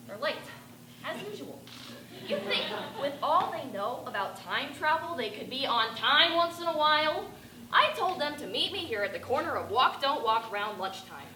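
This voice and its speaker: A teenage girl playing an eccentric, rambling bag lady who talks to aliens, with a raspy voice and a ranting, confiding stage-monologue delivery. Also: high voice. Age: teens. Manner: ranting, confiding, addressing passers-by. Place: stage performance. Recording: echoey room with background noise.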